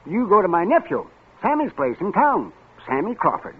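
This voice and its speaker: A man's voice: high voice, wildly swooping pitch, moderate pace, breathy edge, exaggerated voice. An actor playing a chatty, folksy small-town gas station man in a vintage radio drama, rambling and chuckling in clipped rural phrasing. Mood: folksy, amused. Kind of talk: 1950s radio drama acting. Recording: muffled vintage radio recording.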